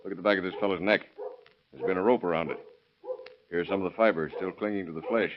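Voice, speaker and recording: An actor playing a shrewd, skeptical lawman, deliberate and matter-of-fact, in 1930s radio drama style. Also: low-pitched, close to an everyday voice. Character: shrewd, skeptical county lawman investigating a killing. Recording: muffled, noisy vintage radio recording.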